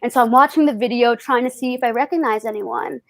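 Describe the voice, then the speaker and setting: A woman with a fairly high voice tells personal stories as an interview guest. She talks casually and reflectively, with expressive touches. The sound is dry, with hardly any room in it. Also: fast talker, no echo. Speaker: festival artist being interviewed.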